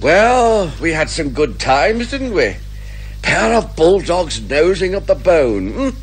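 A loyal, jolly older gentleman in a radio detective comedy. He is hearty and clubby, with a fairly high voice that swings extravagantly up and down. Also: big pitch swings, takes it slowly, faint music behind. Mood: hearty, wistful. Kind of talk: radio comedy drama acting.

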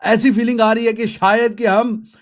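A man with a Pakistani accent and a high-pitched voice talks cricket casually to the camera. His delivery is conversational and colloquial, with English phrases mixed in. He speaks close to a desk microphone in a small studio. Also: flat delivery, normal speed. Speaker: cricket commentator. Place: small indoor home studio. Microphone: desk microphone close in front.

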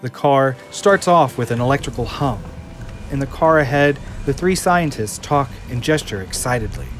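A man's voice: mid-range voice, animated, normal speed, a bit bright, soft background music. A man reading the scene directions of an audio drama as narrator.

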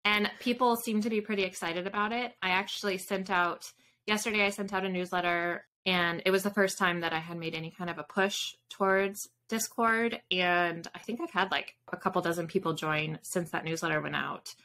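A woman's voice: on the low side, fairly even intonation, a little fast, sharp tone, a little hiss or hum. A woman in her thirties chatting casually as an interview guest, informal and prone to wandering off and rephrasing. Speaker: author and podcast guest. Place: indoor room with bookshelves, on a video call.

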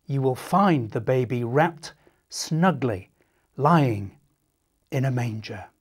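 A man's voice: mid-range voice, expressive, breathy edge. Man as a solemn, reassuring storybook angel. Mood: solemn, joyful. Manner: proclaiming, deliberate. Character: solemn, reassuring angel announcing great news.

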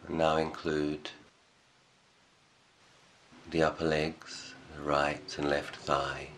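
Casting: male meditation guide, exceptionally deep register, unhurried short phrases and long pauses, slight background noise. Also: flat delivery, fairly bright tone. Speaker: meditation teacher.

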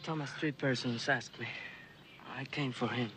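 An actor playing a cold, estranged younger brother, curt and guarded, in a television drama. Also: male voice, medium pitch, fairly even intonation, normal speed, over music, lightly acted. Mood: cold, resolute. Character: cold, estranged younger brother who has renounced his past.

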